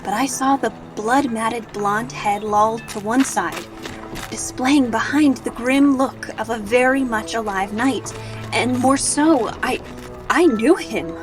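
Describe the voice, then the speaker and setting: High-voiced, earnest young female sergeant, acted by a woman. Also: slow, music in the background. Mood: earnest, grave. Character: earnest young human female artillery sergeant recalling battle. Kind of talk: scripted dramatic first-person narration.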